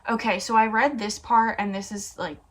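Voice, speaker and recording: A woman's voice: mid-range voice, steady tone, moderate pace. A woman hosting a comedic read-aloud, playful and mock-dramatic, narrating a story and dropping in her own asides. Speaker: YouTube host.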